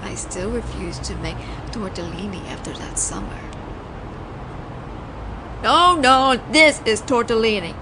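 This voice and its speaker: A woman's voice: medium pitch, measured pace, pauses often, lightly acted. A woman playing a flirtatious, teasing mafia boss in an audio roleplay, talking in a playful, conversational storytelling way. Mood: fond, wry. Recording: noisy outdoor city ambience, traffic.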